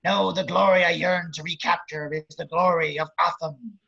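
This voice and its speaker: A man playing a grotesque, grandiose crime boss posturing as a politician in a script table read, with theatrical bitter speeches and a raspy, gravelly voice. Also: a little high, steady tone, normal speed, bright, forceful tone, full character voice. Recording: dull lo-fi call audio.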